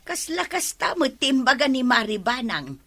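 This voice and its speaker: Actress as bright, high-voiced nosy village woman. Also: big pitch swings, normal speed, exaggerated voice. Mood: chatty. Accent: Filipino Ilocano. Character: chatty, nosy village woman in comedy drama. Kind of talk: Ilocano comedy radio drama acting.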